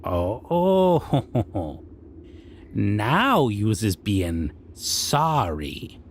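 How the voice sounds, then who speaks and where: A man performs as a civilized goblin king in a fantasy audio drama, stern and menacing. His lowish voice swings expressively through strange broken grammar, taking its time over every question. Faint noise sits behind him. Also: big pitch swings, drawn-out words, heavily acted. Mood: mocking, grave. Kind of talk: scripted roleplay audio drama monologue.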